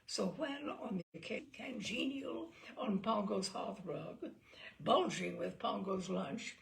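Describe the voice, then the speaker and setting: A woman storyteller narrating a humorous short story in an arch, theatrical, expressive way. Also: mid-range voice, a little slow, vocal fry. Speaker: story reader.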